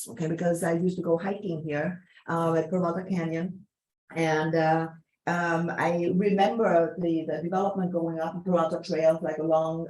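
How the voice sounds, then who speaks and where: A woman's voice: fairly low pitch, darkish tone, echoey room, rough recording. German-accented woman at a community meeting. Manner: chatty, restarts often, checks in with okay and right. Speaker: conservation committee chair.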